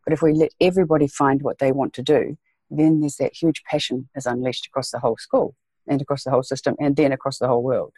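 A woman's voice: very deep voice, steady tone, fast talker, mellow, husky, no echo. A woman from New Zealand with a New Zealand accent, speaking earnestly as a podcast guest and repeating phrases for emphasis. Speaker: guest, education leader. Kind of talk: podcast interview.

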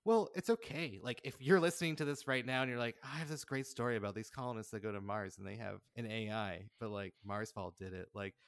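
A man's voice: on the low side, fairly quick, few pauses, dry recording, clean studio sound. A man speaking earnestly and informally as a guest in a casual interview about his creative work. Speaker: podcast guest, audio drama creator.

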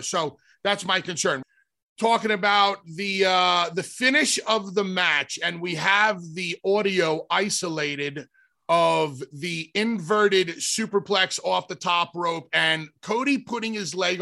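A man's voice: sits high, pushed and bright. A man hosting a wrestling talk show, moderating a debate in a conversational, hedging way. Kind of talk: casual sports talk.

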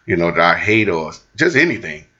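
A man's voice: low voice, fairly quick, dull audio. A man in his forties co-hosting a relationship podcast, chatting casually and joking around. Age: 40s. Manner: relaxed, joking, sings snatches of songs. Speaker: podcast co-host. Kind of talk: casual chat.

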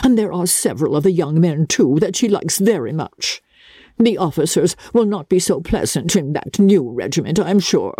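A woman's voice: low voice, lively intonation, moderate pace, mellow, husky, dry recording, studio quality, pitched above the performer's own voice. A woman voicing a fussy, doting middle-aged mother in a plaintive classic novel reading. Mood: plaintive fretting. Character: fretful, doting middle-aged mother lamenting her daughter's departure. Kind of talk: dramatic audiobook reading of classic literature.

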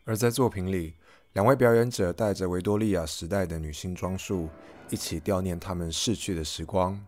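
Low-voiced man narrating a review. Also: fairly even intonation, moderate pace, a little mellow, faint music behind. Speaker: podcast host, reviewer. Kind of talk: first-person scripted review narration.

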